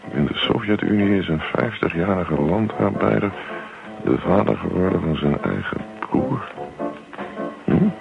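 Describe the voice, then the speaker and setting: An actor playing a put-upon, evasive husband in a radio play, muttering, grumbling and placating. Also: male voice, sits low, creaky, exaggerated voice. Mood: muttering, absorbed. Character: put-upon evasive husband who just wants peace and his newspaper. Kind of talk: Dutch radio play acting. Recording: dull lo-fi old radio recording.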